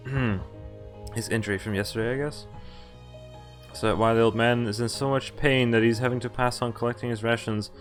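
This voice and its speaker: A man streaming a visual novel, reading it aloud and commenting casually in a halting way with offhand swearing. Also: mid-range voice, fairly even intonation, faint music behind. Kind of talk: casual game walkthrough with read-aloud narration.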